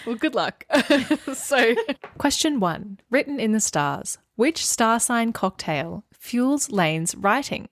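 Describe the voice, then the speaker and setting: A woman's voice: a little high, expressive, takes it slowly, no room sound. A woman co-hosting a podcast in casual chat, sometimes reading out quiz questions. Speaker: podcast co-host.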